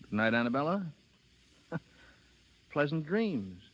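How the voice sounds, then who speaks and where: An actor playing a brisk, gallant young gentleman in a 1930s radio drama, speaking emphatically. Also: fairly high pitch, big pitch swings, very noisy. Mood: reassuring, amused. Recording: dull lo-fi 1930s radio broadcast.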